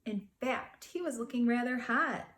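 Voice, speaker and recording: A woman reading a picture book aloud to schoolchildren in an expressive storytelling manner, with dramatic pauses. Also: a little high. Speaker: school counselor reading to students.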